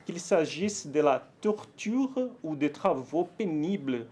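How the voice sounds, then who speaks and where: A Brazilian man speaking French with a Portuguese accent, giving a conference talk. His voice sits a little high for a man and he speaks slowly, pausing often and correcting his own words. He sounds careful and a bit nervous. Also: sing-song. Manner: halting, careful French with frequent fillers and self-corrections; nervous, warm, laughs lightly.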